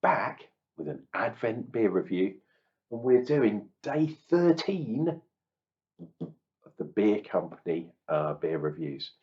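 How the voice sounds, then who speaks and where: Man, husky from a cold, low voice, lively pitch, chatty self-mocking beer reviewer, dull lo-fi recording. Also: takes it slowly. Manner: chatty and self-deprecating, muttering asides. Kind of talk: casual beer review vlog. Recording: slightly dull lo-fi sound.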